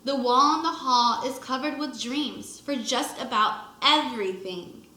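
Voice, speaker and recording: Lady teacher doing a read aloud for little kids, slow n expressive, high voice, roomy echo. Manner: expressive, acts out lines for young children. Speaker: first grade teacher. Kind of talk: children's read-aloud with asides to pupils.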